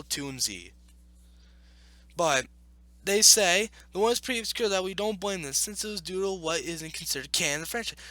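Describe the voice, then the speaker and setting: A man with a high-pitched, bright voice hosts a casual reaction video. His delivery swings up and down a lot as he argues his points emphatically and circles back to repeat them. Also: dry recording. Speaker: video host reacting to an article. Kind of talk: opinionated casual commentary with read-aloud passages.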